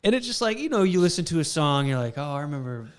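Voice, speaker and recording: A man's voice: on the high side, sing-song, fast talker, smooth. A young man in his twenties co-hosting a casual podcast, rambling with lots of fillers. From South Florida. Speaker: podcast co-host. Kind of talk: casual chat.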